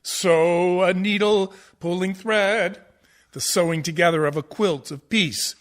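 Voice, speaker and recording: An actor playing a pompous, condescending theatre professor who lectures pedantically in a comic radio play. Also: male voice, sits high, measured pace. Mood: smug, explaining. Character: pompous, condescending male musical-theatre professor, absurdly over-analytical. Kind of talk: comic radio play acting as interview guest.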